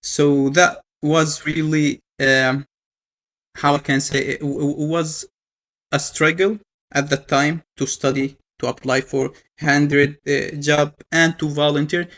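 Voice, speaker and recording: A young man from Yemen telling his own story to an audience, halting, with many fillers and restarts. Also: fairly high pitch, level tone, fairly bright tone. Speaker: panel speaker. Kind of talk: personal story told to an audience.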